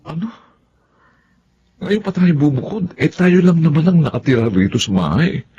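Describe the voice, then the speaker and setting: A man's voice: fairly high pitch, sing-song, normal speed, dark tone, slightly rough, full character voice. A man playing an exasperated middle-aged husband and dutiful son in a Filipino radio drama. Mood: puzzled. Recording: dull lo-fi sound with background noise.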